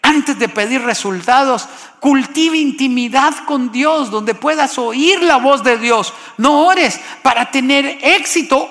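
A man's voice: very high-pitched, sharp tone, in a large hall. A man from Costa Rica preaching a sermon, impassioned and building to exclamations.

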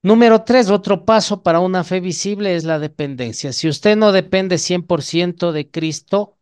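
A man's voice: high-pitched, moderate pace, darkish tone, smooth. A man with an Ecuadorian Spanish accent preaching an evangelical sermon in a didactic way, often putting questions to his listeners.

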